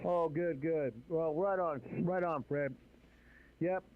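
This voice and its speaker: A man's voice: on the high side, slow. A man chatting as a ham radio operator, upbeat and friendly, repeating his words. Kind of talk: radio conversation. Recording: dull radio signal with static.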